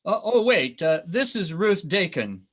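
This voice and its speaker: A man's voice: high-pitched, sing-song, unhurried, fairly bright tone, heavily acted. An actor playing an eager young newlywed man in an amateur radio play. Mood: cheerful, proud. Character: eager young newlywed brother back home. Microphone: video call.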